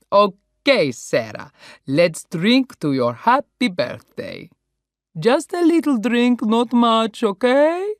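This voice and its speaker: A woman's voice: mid-range voice, no room sound, clean studio sound, pitched above the performer's own voice. A woman voicing a jolly middle-aged Italian restaurant owner with an Italian accent and effusive broken English. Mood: festive.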